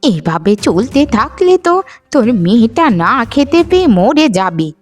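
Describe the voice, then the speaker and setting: A woman's voice: fairly high pitch, very animated, normal speed, darkish tone, faint music behind. A woman voicing an aggrieved elderly village grandmother in a cartoon, plaintive and reproachful. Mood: scolding. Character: aggrieved elderly village grandmother, scolding her wayward son. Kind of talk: cartoon voice acting in a moral story.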